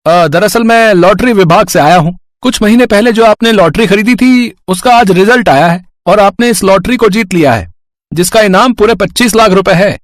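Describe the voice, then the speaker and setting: A man's voice: high voice, normal speed, no room sound, clean studio sound, pitched above the performer's own voice, natural voice. A man voicing a polite, upbeat lottery official making announcements in a cartoon story. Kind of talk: animated moral story dialogue.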